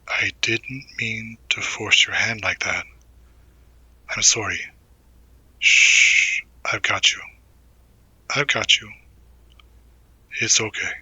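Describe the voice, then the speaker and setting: Man acting as young college student, protective sibling, very bright breathy voice, close dry sound, earnest, casual, audio roleplay. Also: lowish voice, dry recording, heavily acted.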